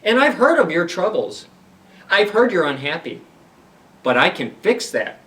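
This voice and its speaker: A man voicing a slick, showy fix-it salesman creature from a children's storybook, delivering a grandiose sales pitch. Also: big pitch swings, unhurried, fairly bright tone, distant mic, exaggerated voice, low-quality recording. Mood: boastful selling. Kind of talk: storybook read-aloud.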